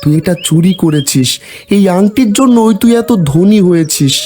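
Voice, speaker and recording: A man's voice: high voice, expressive, measured pace, warm tone, soft background music, voice pushed up. A man voicing a stern talking myna bird in a cartoon fairy tale, scolding and accusing.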